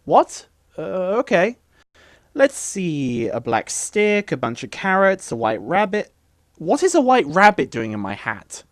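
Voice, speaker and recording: A woman's voice: fairly low pitch, big pitch swings, measured pace, no echo, studio quality. A woman voicing a brash, sarcastic, slightly clueless young male hero in a comedic video game parody dub. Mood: puzzled. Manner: sarcastic, blustering.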